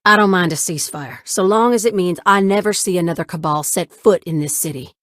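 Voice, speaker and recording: A woman's voice: on the low side, a little fast, fairly bright tone, no echo, clean studio sound, lightly acted. An actress voicing a spirited young woman ship pilot and mechanic in a video game, with casual, familiar, folksy banter. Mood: resolute, frank. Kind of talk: video game character dialogue.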